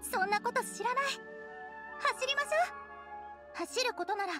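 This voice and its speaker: Earnest, very high-voiced little girl. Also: measured pace. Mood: spirited. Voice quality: childlike, youthful. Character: frail but determined little girl, earnest and competitive. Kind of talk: Japanese anime game acting. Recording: music behind the voice. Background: game music.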